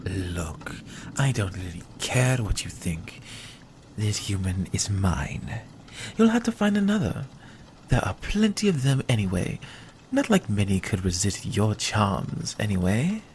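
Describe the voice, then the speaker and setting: A man's voice: lowish voice, sing-song, measured pace, darkish tone, slight background noise. A man playing a possessive, dry-witted vampire in an ASMR roleplay, with a sardonic, commanding manner. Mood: possessive, defiant. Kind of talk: ASMR roleplay audio drama.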